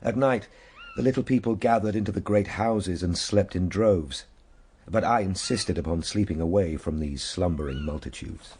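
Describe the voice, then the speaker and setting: An actor playing an earnest, reflective gentleman time traveller in a radio drama, moving between measured storytelling and simple, tender coaxing. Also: deep voice, slightly rough. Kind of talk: radio drama narration and acting.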